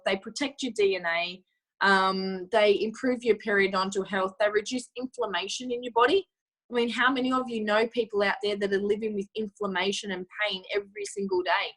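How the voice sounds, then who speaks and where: Croaky-voiced woman giving an upbeat sales pitch. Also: sharp tone, rough recording. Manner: enthusiastic, asks the audience questions, many fillers. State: croaky voice from yelling. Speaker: product presenter.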